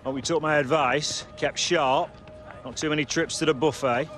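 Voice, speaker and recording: A man's voice: a little high, steady tone, moderate pace, fairly bright tone, faint music behind, some chatter behind, close to an everyday voice. A man playing a stern football manager. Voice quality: firm, commanding. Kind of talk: video game cutscene acting.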